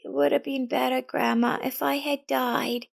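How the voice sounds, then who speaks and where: A woman's voice: sits high, little pitch movement, normal speed, vocal fry, voice pushed up, exaggerated voice. A woman voicing a sad, frightened five-year-old girl in a narrated family drama story. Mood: sad, soft.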